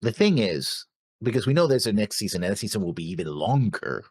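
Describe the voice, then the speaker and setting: A man co-hosting a reaction show, chatting casually about a series in a halting way, repeating his phrases. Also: fairly low pitch, very animated, darkish tone, slightly rough, dry recording, clean studio sound. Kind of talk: casual discussion of an animated series.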